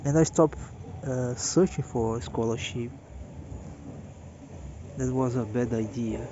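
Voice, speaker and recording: A tired Brazilian man in his late thirties with a Portuguese accent, talking slowly and haltingly to camera in a personal monologue, often restarting his words. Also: medium pitch. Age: 30s. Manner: hesitant, halting, repeats words. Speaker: vlogger. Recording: dull lo-fi audio with background noise.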